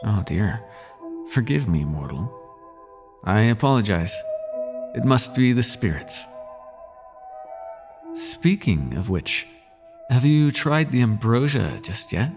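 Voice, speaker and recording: A suave demon prince acted by a man speaks in a slow, low drawl that swoops expressively. He is courtly and teasing, seductive in the manner of an intimate audio roleplay, with music behind him. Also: sing-song, darkish tone, heavily acted. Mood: coaxing. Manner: courtly, teasing, lingering delivery.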